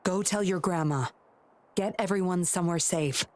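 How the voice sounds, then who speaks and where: An actress playing a cool, teasing woman agent with a secretive, measured manner in a video game. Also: lowish voice, lightly acted. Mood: probing.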